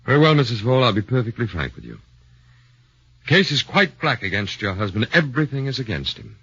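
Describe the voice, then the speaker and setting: A shrewd probing lawyer. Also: male voice, mid-range voice, wildly swooping pitch, sharp tone, slightly rough, full character voice. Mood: earnest. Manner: measured, probing. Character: shrewd, probing middle-aged male lawyer. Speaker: defense lawyer. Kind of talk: 1940s radio acting. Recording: dull 1940s radio broadcast.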